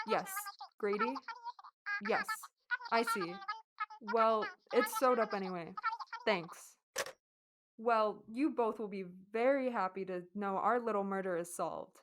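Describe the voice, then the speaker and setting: A woman playing a methodical police inspector in a radio drama, speaking in a measured, formal way. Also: mid-range voice, lively intonation, noisy background. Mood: smug, accusing. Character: methodical, knowing police inspector questioning suspects. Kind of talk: amateur radio drama acting.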